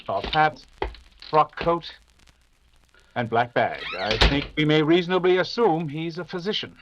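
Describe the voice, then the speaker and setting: A man acts a composed gentleman detective in a radio play, polite and deliberate. The voice sits a little high, on a dull recording with clear noise. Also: natural voice. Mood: brisk, inquisitive. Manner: clipped, deliberate, polite, deductive. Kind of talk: old-time radio mystery acting. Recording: dull, noisy audio with clicks.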